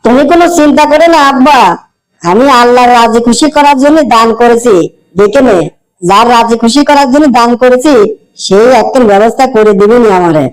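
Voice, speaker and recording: Cartoon son, male actor: young, pious, hopeful, notably high pitch, earnest rural Bangladeshi accent. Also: a little fast, clean tone, rough recording. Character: devout, kind-hearted, hopeful young village son. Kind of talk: amateur cartoon voice acting.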